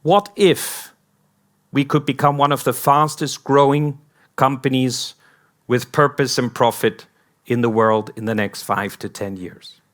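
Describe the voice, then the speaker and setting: A man's voice: medium pitch, steady tone, a bit bright. A man with an American accent, born in Hong Kong, giving a conference talk as a company founder, building up a string of rhetorical questions. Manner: slow, rhetorical, repeating "what if" questions. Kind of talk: conference speech.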